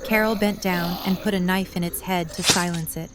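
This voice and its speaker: A woman's voice: lowish voice, steady tone, normal speed, background noise. A woman narrator reading a scripted story for an audio drama.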